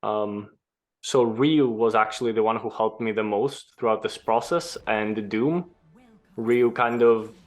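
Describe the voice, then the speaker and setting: A young man from Greece, a former pro gamer, answering interview questions in a relaxed, explanatory way. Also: lowish voice. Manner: relaxed, explanatory, some restarts.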